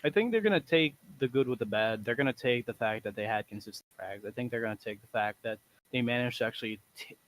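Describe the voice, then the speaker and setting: A man's voice: medium pitch, quick pace, a bit bright, poor audio. Portuguese-accented male esports caster. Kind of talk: live esports commentary.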